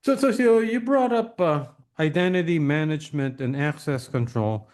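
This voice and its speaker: A man's voice: a little high, moderate pace, a little mellow. Man hosting, thinking aloud. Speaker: podcast host, interviewer. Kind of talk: casual interview discussion.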